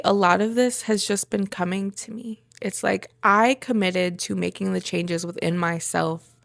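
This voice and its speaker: A woman speaks in a personal, reflective monologue at a medium pitch, with plenty of vocal fry. She pauses between short phrases and repeats herself for emphasis. The sound is dry, with no room echo. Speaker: vlogger sharing a personal journey.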